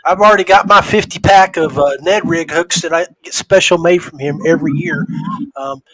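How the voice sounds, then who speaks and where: A man with a noticeably high voice hosts a casual podcast. He is folksy and familiar, addressing people like old buddies. The sound is very dry, with hardly any room to it. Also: brisk, a bit bright, no room sound, poor audio. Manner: folksy, familiar, addresses listeners as guys and buddy. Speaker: podcast host. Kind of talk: casual fishing podcast chat.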